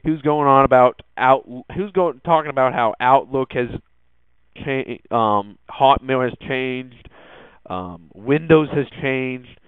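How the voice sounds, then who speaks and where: A man's voice: medium pitch, slow pace, smooth, dry recording. A young man hosting a casual tech podcast, talking hesitantly with many ums and restarts. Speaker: podcast host. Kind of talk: casual tech news monologue. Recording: dry phone-like lo-fi audio.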